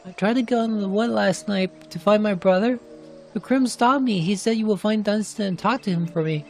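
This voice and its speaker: A woman's voice: mid-range voice, a little fast, lightly acted. A woman voicing an anxious, guilt-ridden young girl in a fantasy game cutscene, pleading and plaintive. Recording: music behind the voice.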